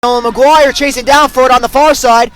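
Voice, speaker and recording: A young man doing excitable live play-by-play sports commentary as a student sportscaster. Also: very high voice, fairly quick. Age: young adult. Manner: excitable, bursting into shouts on big plays.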